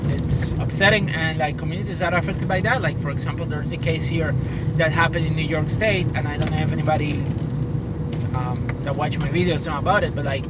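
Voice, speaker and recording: A man whose first language is Spanish, speaking English with a Spanish accent in a casual vlog monologue, rambling and restarting as his thoughts race ahead. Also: fairly high pitch. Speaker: vlogger. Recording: loud background noise.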